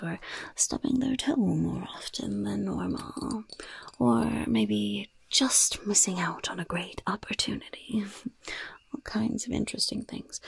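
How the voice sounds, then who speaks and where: A woman voicing a mischievous goblin shopkeeper in a whispered ASMR roleplay, with sly, gleefully polite sales patter in a breathy, gravelly voice. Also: mid-range voice, dry recording, heavily acted. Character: mischievous goblin shopkeeper selling poisons and cursed items.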